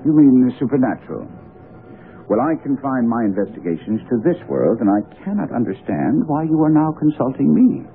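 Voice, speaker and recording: An actor playing a brisk, commanding, skeptical gentleman detective in a radio mystery drama, probing with crisp authority. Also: medium pitch, big pitch swings. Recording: narrow, tinny old radio broadcast.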